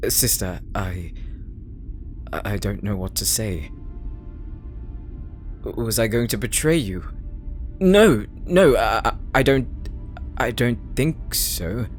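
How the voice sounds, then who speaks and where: A man's voice: on the low side, expressive, slow, pauses often, faint music behind. A man playing an anxious, earnest young paladin pilot in an audio roleplay, hesitant and formal in his wording. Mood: fearful, faltering.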